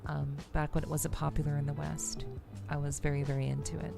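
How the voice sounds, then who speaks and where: Low-voiced woman streamer chatting casually. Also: level tone, fairly quick, creaky, music in the background. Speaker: VTuber streamer. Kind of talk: casual livestream chat.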